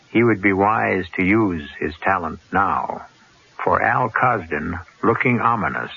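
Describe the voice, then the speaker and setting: A man narrating a scripted radio drama in a measured, suspenseful way. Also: on the low side, gravelly, bright, forceful tone. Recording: muffled old radio drama broadcast.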